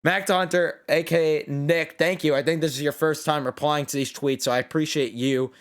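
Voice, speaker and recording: A young man runs through a late-night post-game reaction, blunt and opinionated, with a fair amount of swearing. He sounds worn out, talking in a somewhat high voice with a smooth, clear tone. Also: steady tone, moderate pace. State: tired and losing his voice. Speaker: sports reaction host.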